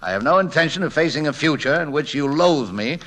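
An actor playing an eccentric, flustered gentleman painter in a 1940s radio play, brusque and prone to stammering. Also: fairly high pitch, expressive, fairly quick. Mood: blustering, dismissive. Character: eccentric, blustering gentleman painter, fond of his servant.

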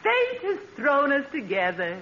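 Radio comedy blind date: gushing, coy, piercingly bright high voice, slow and swooping, dull old recording. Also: female voice, wildly swooping pitch, a little hiss or hum, exaggerated voice. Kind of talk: 1940s radio comedy acting.

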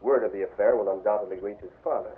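An actor playing a smooth, scheming gambler villain with a suave manner, in a 1940s radio drama. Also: male voice, lowish voice, steady tone, fast talker, slight background noise, close to an everyday voice. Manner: suave, crafty. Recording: tinny old radio broadcast.

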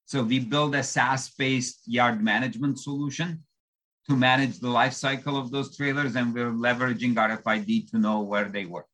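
A man's voice: mid-range voice, fairly even intonation, fairly bright tone, poor audio. Turkish-born man with a light accent. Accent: light shifting foreign accent. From Turkey. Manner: measured, explanatory storytelling. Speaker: startup founder, guest. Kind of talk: interview answers.

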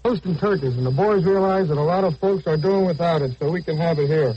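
An American sergeant, a man with a higher-set voice, answers in scripted 1940s radio style. The recording is dull, with slight noise behind. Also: fast talker. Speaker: American army air force sergeant.

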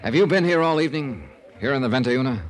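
An actor playing a terse, businesslike detective who narrates in a clipped, dry manner in a 1950s radio drama. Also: male voice, medium pitch, animated, fast, faint music behind, natural voice.

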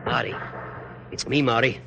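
An actor playing a wry, wounded Continental foot soldier in an old radio drama, talking in clipped lines. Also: male voice, mid-range voice, normal speed, creaky, over music, natural voice. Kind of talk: 1940s radio drama acting. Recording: noisy old radio recording.

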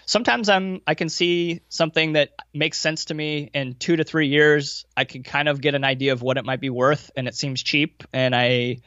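A man's voice: on the high side, steady tone, moderate pace, hardly any pauses, bright, forceful tone, dry recording, dull audio. An American man answering interview questions in a casual, informal, anecdotal way, with some self-deprecation. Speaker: podcast guest, value investor running a fund.

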